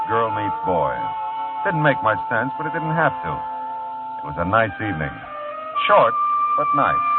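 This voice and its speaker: Wisecracking private eye, deep voice, loud music behind, tinny old radio sound. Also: male voice. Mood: wry narration. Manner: dry, laid-back, wry. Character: easygoing, wisecracking male private detective. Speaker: detective and narrator. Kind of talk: 1940s radio acting.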